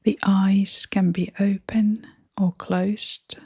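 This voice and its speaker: Very slow, breathy woman guiding meditation. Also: mid-range voice, level tone, no room sound, clean studio sound. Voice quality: soft, breathy, often near-whispering. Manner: gentle, soothing, with long pauses. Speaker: meditation guide. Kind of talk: guided meditation, scripted.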